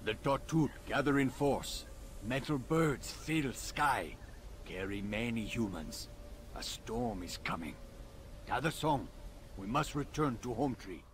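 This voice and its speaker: An actor playing a proud, solemn alien native warrior guide in a video game, speaking formal, clipped English. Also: male voice, medium pitch, expressive, takes it slowly, a little hiss or hum. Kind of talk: video game character acting.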